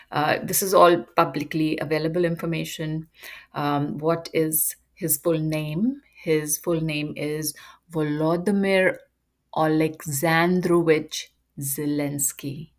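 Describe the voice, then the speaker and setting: Low-voiced woman giving a measured talk. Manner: measured, frequent ums and uhs. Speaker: educator presenting a topic. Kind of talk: unscripted lecture monologue.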